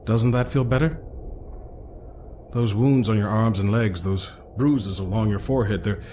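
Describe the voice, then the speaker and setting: A man's voice: lowish voice, unhurried, mellow, slight background noise, close to an everyday voice. A man playing a witty, fussy, eccentric scientist-adventurer in an audio drama, chatty and theatrical with mock-formal asides. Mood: soothing.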